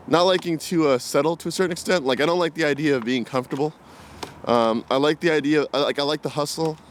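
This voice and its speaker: Male vlogger, twenties, mid-pitched, unscripted and reflective, rambling delivery, recorded outdoors with faint ambient noise. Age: 20s. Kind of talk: casual unscripted talk to camera. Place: outdoors, walking in woods. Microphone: camera carried while walking.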